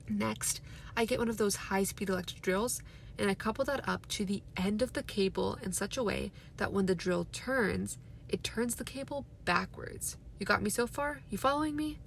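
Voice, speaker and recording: A woman's voice: medium pitch, normal speed, creaky, a little hiss or hum, close to an everyday voice. A woman voicing a boastful, bullying middle-aged car-dealer father in a storybook reading. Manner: brash, preaching his tricks with pride. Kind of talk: storybook read aloud.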